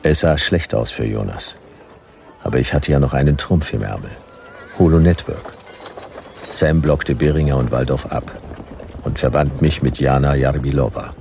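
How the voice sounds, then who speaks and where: A man playing a laconic, world-weary detective narrator in a radio drama, terse, deadpan and measured. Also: a bass voice, gravelly, slight background noise. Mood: wry, grave.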